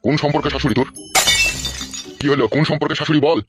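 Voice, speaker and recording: A man's voice: fairly high pitch, little pitch movement, rapid-fire, over loud music, natural voice. A man voicing a bullying, scheming local politician in a comic cartoon, scolding and interrogating coarsely. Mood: angry, interrogating. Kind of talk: comic cartoon dialogue in colloquial rural Bengali.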